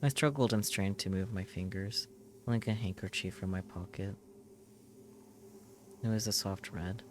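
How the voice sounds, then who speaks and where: A man with a low voice narrates a visual novel in the first person. He reads solemnly in short, measured phrases, with faint noise and ambience behind him. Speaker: streamer reading a visual novel aloud.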